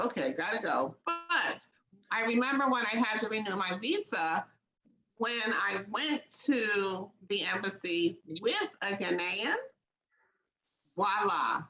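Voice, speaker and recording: A woman's voice: mid-range voice, echoey room, poor audio. An American woman hosting a video call, telling her own experiences in a candid, storytelling way. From United States. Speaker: host. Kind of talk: casual video call discussion.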